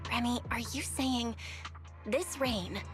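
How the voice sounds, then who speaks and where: An actress voicing a friendly, level-headed young woman in an anime video game, gently mediating between others. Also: on the high side, slow pace, music in the background. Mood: conciliatory.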